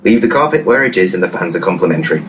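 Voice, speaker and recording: A man's voice: deep voice, lively intonation, rapid-fire. An actor playing a cocky, crude ghost-busting handyman in a comedy audio drama, pompous and matter-of-fact. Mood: blunt, smug. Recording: distant mic, clear background noise.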